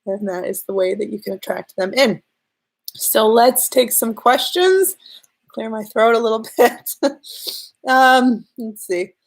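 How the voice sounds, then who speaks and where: A woman's voice: fairly high pitch, a little slow, vocal fry. A woman speaking with a slight cold, her voice a little off from it. She gives earnest, direct advice straight to the listener in a live stream, leaning on the key words for emphasis. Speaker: relationship and law-of-attraction coach.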